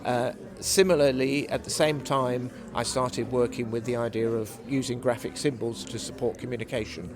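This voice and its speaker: A man answers interview questions in a measured, explanatory way. His pitch sits in the middle, and a busy crowd chatters clearly behind him. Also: fairly even intonation. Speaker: panellist, academic interviewee.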